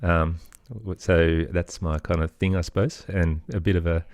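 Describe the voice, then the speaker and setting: A man's voice: low-pitched, fairly even intonation, moderate pace, darkish tone, dry recording, clean studio sound. A middle-aged man with an Australian accent, chatting casually as an interview guest in a tentative, rambling way. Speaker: podcast guest, musician.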